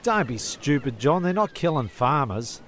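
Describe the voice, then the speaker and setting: A man's voice: mid-range voice, very animated, moderate pace, close to an everyday voice. A man playing a laid-back, literal-minded mate in an audio comedy, talking in a blokey, matter-of-fact way. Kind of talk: comedic audio drama dialogue.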